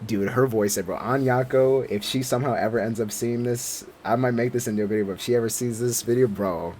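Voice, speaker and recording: A man's voice: mid-range voice, fairly even intonation, brisk, a little hiss or hum. A man giving casual, slangy, profane reaction commentary as a gaming streamer. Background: loud game music.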